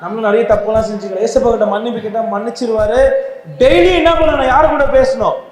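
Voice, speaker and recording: Teacher shouting questions at a room full of kids, big echo bouncing around the church hall, long reverb tail. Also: mid-range voice, fast talker. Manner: loud, animated, calls out questions to the kids. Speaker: children's Bible class teacher. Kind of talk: quizzing children at a church Bible school. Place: inside a church hall, with a crowd of children.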